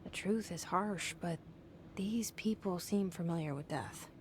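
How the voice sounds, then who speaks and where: A guarded young woman thinks to herself in a video game, played by an actress. Her delivery is slow, hushed and brooding, often slipping toward a whisper. A clear ambient noise sits behind her. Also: lowish voice, lightly acted. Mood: troubled, introspective. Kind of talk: video game inner monologue.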